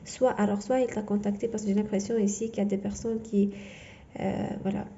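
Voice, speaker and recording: Gravelly, dark-voiced woman tarot reader. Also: medium pitch, flat delivery, dull audio, slight background noise. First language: not French. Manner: halting, many fillers and restarts. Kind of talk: tarot reading to camera.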